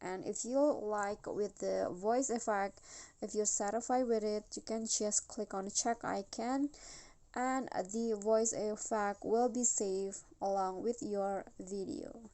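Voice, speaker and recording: A woman narrating a casual software tutorial, explaining things simply step by step as the host. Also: mid-range voice, fairly even intonation, slow pace.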